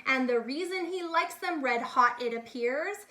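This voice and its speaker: A woman's voice: sits high, lively intonation, moderate pace, bright, forceful tone. A woman voicing a boastful, eager young boy who plays circus showman in a rhyming storybook, with grandiose singsong delivery. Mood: proud, showy. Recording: echoey, reverberant room sound.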